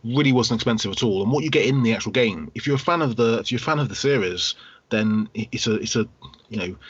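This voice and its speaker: Male podcast co-host, husky low voice, explains hesitantly with repeated phrases, slightly dull lo-fi sound. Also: a bit bright, dry recording. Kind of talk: casual podcast discussion of video games. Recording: dull, lo-fi voice recording.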